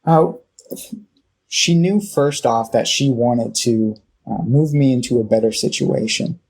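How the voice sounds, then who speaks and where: A man from Southern California with a Californian accent, telling his personal story as an interview guest, halting and often pausing mid-phrase. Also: mid-range voice, darkish tone, breathy edge. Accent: Californian American. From Southern California, United States. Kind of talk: reflective personal storytelling in conversation.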